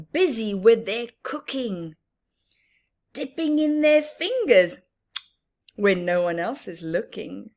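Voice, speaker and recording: A woman reading a picture book aloud to children in an expressive, teacherly way, adding simple explanations and questions for the listener. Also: a little high. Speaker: children's story time reader.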